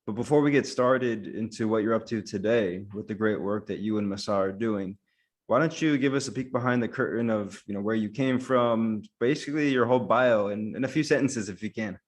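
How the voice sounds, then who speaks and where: A man's voice: lowish voice, a little fast. A man hosting a podcast, reading a polished intro and asking his guest questions. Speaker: podcast host. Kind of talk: scripted intro and interview questions.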